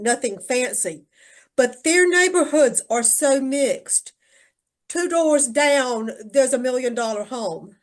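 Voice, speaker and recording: An older woman speaking casually in a group discussion, emphatic and repeating phrases to stress her point. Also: fairly high pitch. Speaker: church discussion participant.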